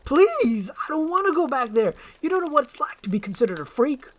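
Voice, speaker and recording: Woman playing an excitable, high-swooping talking donkey. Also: a little fast. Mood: pleading. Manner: chatty, eager, rambling, wheedling. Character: talkative, needy, excitable male talking donkey. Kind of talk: amateur screenplay voice acting.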